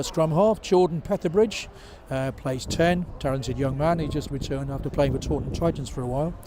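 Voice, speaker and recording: A hoarse man who is losing his voice, chatting casually with many fillers as he introduces a rugby match from a seat in the stand. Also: a little high, mellow. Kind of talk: solo pre-match commentary for an online stream. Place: seated in a stand at an outdoor rugby ground. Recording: crowd noise from a rugby stand. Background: crowd of spectators.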